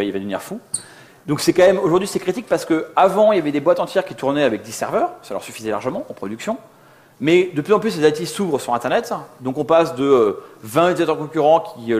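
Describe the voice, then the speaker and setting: A man's voice: a little high, sing-song, fast, darkish tone. A man giving an informal tech talk, colloquial and joking, poking fun at himself as he presents. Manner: joking, colloquial, self-deprecating. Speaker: conference speaker, software architect. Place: live talk before an audience.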